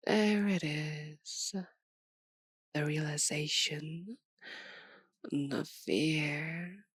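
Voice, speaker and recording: A woman's voice: medium pitch, gravelly, a little mellow, dry recording, studio quality, heavily acted. A woman voicing a centuries-old, sadistic female vampire in an ASMR roleplay, with a theatrical, condescending and menacing delivery. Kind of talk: ASMR roleplay, dramatic monologue.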